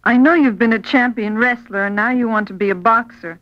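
An earnest young woman pleading with a friend, acted out by a female radio guest. The voice is fairly high, in scripted storytelling style, on a dry, muffled old radio recording. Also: brisk, no room sound, lightly acted. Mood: urgent pleading.

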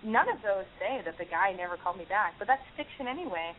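A woman's voice: medium pitch, lively intonation. A woman hosting a podcast, chatting with a caller and then telling a story in a talkative way, with lots of restarts and "like". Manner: talkative, quick restarts, frequent "like". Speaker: podcast host. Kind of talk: casual call-in chat and storytelling. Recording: phone-like narrow band with faint hiss.

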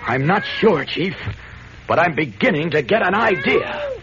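Keen, urgent reporter on noisy old radio. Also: male voice, high-pitched, wildly swooping pitch, a little slow, highs cut off, exaggerated voice. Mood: grave, alarmed. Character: male reporter, alert, probing, quietly urgent. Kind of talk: 1940s radio drama acting.

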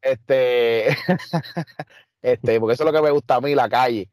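A man's voice: medium pitch, a little slow, darkish tone, no room sound, studio quality. A man with a Puerto Rican accent, a wrestler and trainer telling stories in an interview, emphatic and streetwise with lots of fillers. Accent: Puerto Rican Spanish. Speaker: wrestler guest. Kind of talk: casual interview storytelling.

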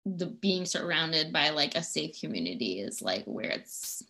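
A woman in her thirties with a bright, forward voice and a slightly low pitch. She chats casually as a podcast guest, warmly enthusiastic and laughing between phrases. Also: big pitch swings, measured pace, gravelly. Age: 30s. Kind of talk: casual chat.

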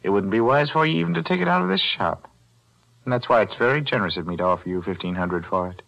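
An actor playing a shrewd, greedy older pawnbroker in a 1940s radio drama, smug and coolly bargaining. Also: male voice, lowish voice, expressive, quick pace, vocal fry, a bit bright, muffled recording. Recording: vintage 1940s radio broadcast.

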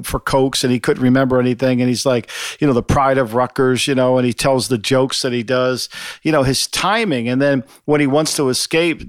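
A middle-aged man co-hosting a podcast, rambling and animated as he quotes favorite lines with a laugh. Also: mid-range voice, no room sound, studio quality. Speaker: podcast co-host. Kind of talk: casual chat.

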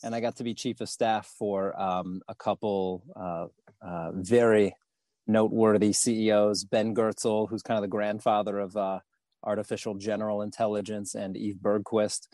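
A man speaking as a podcast guest about his career and fundraising, pausing often to restart his sentences. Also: lowish voice, flat delivery, mellow, no echo, clean studio sound. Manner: halting, many fillers and restarts. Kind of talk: podcast interview.

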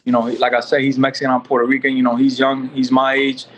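A man's voice: medium pitch, completely flat delivery, quick pace, fairly bright tone, a little hiss or hum. A man in his twenties from New York, a boxer guest talking in a casual, rambling way with many fillers and restarts. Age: 20s. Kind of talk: casual interview chat.

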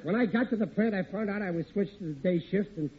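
A man's voice: high voice, fast, noisy background, lightly acted. An actor playing a sheepish, blustering, middle-aged American working-class husband and father in an old radio sitcom, with hesitant, ungrammatical speech. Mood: sheepish excuses. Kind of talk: 1950s radio sitcom acting. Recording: tinny old radio broadcast recording.

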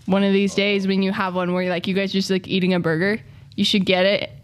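A woman's voice: medium pitch, level tone, quick pace, smooth. A woman joining a casual podcast chat, speaking in a matter-of-fact, laid-back way. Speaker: podcast guest.

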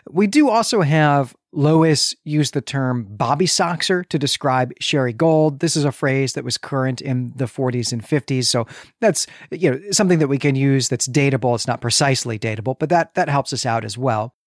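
An American man with a medium-pitched voice speaks as a podcast co-host discussing literature. His delivery is measured and explanatory, with an academic turn, in a very dry recording. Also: dry recording. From northern Illinois, Chicago area, United States.

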